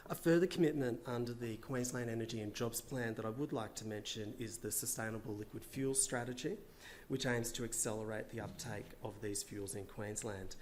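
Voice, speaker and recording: Hoarse man giving a panel talk. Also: medium pitch, a little mellow, slight background noise. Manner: measured, partly read remarks with frequent uhs. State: losing his voice. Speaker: government official. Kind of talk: conference panel presentation.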